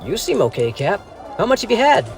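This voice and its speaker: A cheeky teenage sidekick in an audio drama. The voice is high and very animated, with some creak, and talks in boyish slang over light music. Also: male voice, fairly quick, full character voice. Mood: joking. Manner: casual, boyish period slang.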